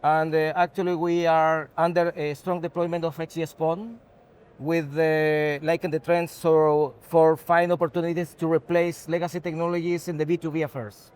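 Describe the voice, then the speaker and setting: A man from Chile with a Chilean Spanish accent, giving unscripted interview answers as a technology executive in halting, non-native English. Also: fairly high pitch, little pitch movement, a little mellow, smooth.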